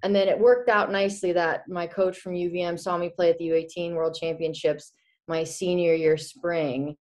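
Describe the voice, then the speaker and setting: A woman in her twenties from the Czech Republic, chatting as a guest in a video-call interview, animated and quick to laugh. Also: on the low side. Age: 20s. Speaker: guest, former college hockey player. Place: small indoor room. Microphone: laptop webcam on video call.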